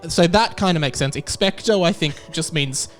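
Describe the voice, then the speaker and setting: A man's voice: fairly high pitch, moderate pace, a bit bright, soft background music. A man hosting a podcast in a casual, comedic, chatty style. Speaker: podcast host and quizmaster.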